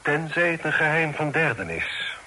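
An actor playing a grave middle-aged father and magistrate in a radio play, with a husky voice and solemn, measured, formal delivery. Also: on the high side, lively intonation, sharp tone, slight background noise, low-quality recording. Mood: grave, probing. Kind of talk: Dutch radio play acting.

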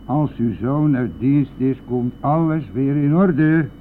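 An actor playing a garrulous, colloquial driver in a Dutch radio play, chatty and insistent, nosy and rough-edged. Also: male voice, medium pitch, slow pace, lightly acted. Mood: reassuring. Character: chatty, nosy male driver, crude and jovial, pestering silent passenger. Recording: tinny band-limited old radio recording, vehicle noise.

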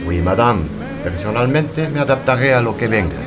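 A man's voice: mid-range voice, expressive, normal speed, natural voice. A man playing a suave, sardonic French official in a radio play, speaking wryly. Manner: wry, sprinkles French exclamations. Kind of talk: radio theatre acting. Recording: clear ambient engine noise.

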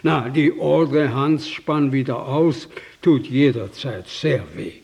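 An actor playing a jovial, generous aristocratic host with hearty, old-fashioned formal phrasing in a German radio drama. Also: male voice, medium pitch, slow, gravelly, darkish tone, slightly rough, heavily acted. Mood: hearty, amused.

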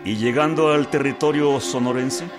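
An actor playing a courteous, resigned general in a Spanish-language radio drama, slightly hesitant. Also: male voice, medium pitch, moderate pace, close to an everyday voice. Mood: resigned, polite. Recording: faint music behind voice.

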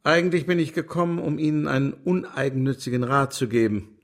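An actor playing a smug, self-serving political turncoat in a German radio drama, husky, cool and mocking as he gives advice. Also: on the high side, fairly quick, close to an everyday voice. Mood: cool, reasoning.

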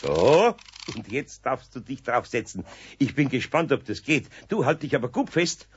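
An actor playing a good-natured, fatherly older craftsman with a Bavarian accent and a husky voice in a children's radio play. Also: medium pitch, sing-song, moderate pace. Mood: patient, instructing. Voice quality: husky, gravelly. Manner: homely, fatherly, admonishing. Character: good-natured, patient older master craftsman, gently stern. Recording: dull vintage radio recording.

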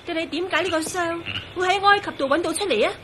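A woman's voice: extremely high pitch, quick pace, sharp tone, a little hiss or hum. An actress playing an anxious, devoted young woman in a Cantonese radio drama. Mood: earnest, insistent. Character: anxious, devoted young woman turned transparent, quick to reason.

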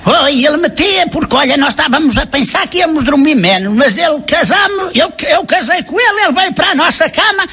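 A piercingly high, bright voice for a cheeky village woman played by a woman. She is the teasing younger sister in a radio comedy sketch, mocking and exclaiming, with loud music underneath. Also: animated, brisk, few pauses.

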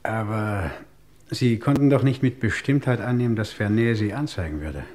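Actor as low-voiced, exasperated police inspector, male. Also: moderate pace, a little mellow, close to an everyday voice. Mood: stern, accusing. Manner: brusque, insistent, official. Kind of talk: vintage German radio crime drama acting.

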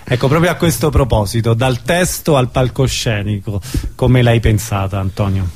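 A man's voice: medium pitch, normal speed, darkish tone. A man hosting an informal radio interview, prompting his guests with short questions. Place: radio studio.

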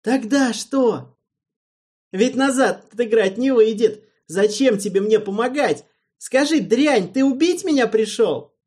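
A man's voice: high-pitched, lively intonation, measured pace, few pauses, voice pushed up. A man voicing a hysterical, spiteful young male king who throws childish tantrums. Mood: frightened, shrill. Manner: shrieking, petulant, childish tantrums.

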